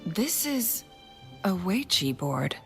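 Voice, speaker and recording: An actress playing a composed, thoughtful young female strategist in a game, speaking calmly with a soft breathy edge. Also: mid-range voice, soft background music, exaggerated voice. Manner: calm, measured, softly breathy. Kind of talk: video game cutscene dialogue.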